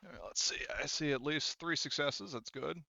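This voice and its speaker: A man's voice: fairly high pitch, normal speed, vocal fry, dull audio, heavy background noise. A man speaking casually and informally as game master of a tabletop roleplaying game.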